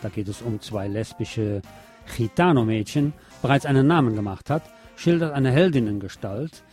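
A man's voice: mid-range voice, animated, normal speed, darkish tone, faint music behind. A man presenting a scripted film review as a radio host.

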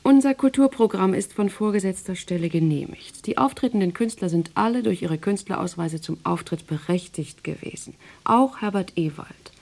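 A woman in a radio play acting a brisk, guarded director of an East German cultural centre, businesslike and impatient. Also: medium pitch, vocal fry, a little mellow. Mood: brisk, wary.

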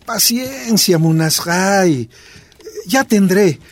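A man playing a patient, tender miner and husband in a radio drama, gentle and reassuring. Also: high-pitched, animated, very slow, full character voice. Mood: patient, hopeful.

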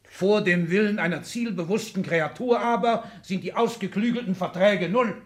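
A man plays an ailing but tyrannical old tycoon in a 1960s radio play. His voice sits on the high side, and he declaims in clipped, imperious phrases. Sudden outbursts break through the stern lecturing. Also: moderate pace, lightly acted. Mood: insistent lecturing. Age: older. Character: ailing, domineering old industrialist patriarch lecturing his children.

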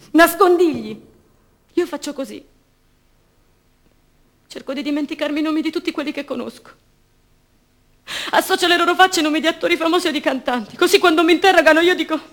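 A woman's voice: very high voice, a bit bright. An actress playing an anguished, defiant young woman held prisoner, in an intimate, bitterly ironic radio drama monologue. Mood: fragile, confiding.

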